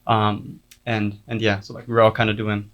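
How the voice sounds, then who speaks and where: A man's voice: fairly low pitch, monotone, moderate pace, fairly bright tone, a little hiss or hum. A man who worked as a gaffer on a film, speaking a little off mic and filling his answers with "like". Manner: filler-heavy, lots of "like". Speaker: film crew member, gaffer. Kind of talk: casual interview answers.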